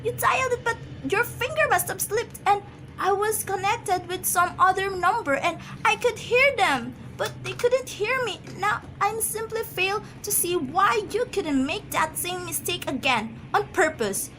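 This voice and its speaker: A woman's voice: very high-pitched, lively intonation, a little slow, pushed and bright, exaggerated voice. A woman acting in an amateur radio drama as a frail, nervous, demanding wife who stammers, pleads and gets agitated. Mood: indignant, demanding. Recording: noisy amateur radio play recording.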